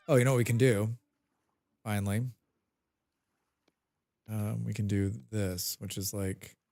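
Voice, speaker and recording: A man's voice: mid-range voice, darkish tone, clean tone. A man with a head cold chatting casually while live-streaming a game, humming to himself and answering his chat.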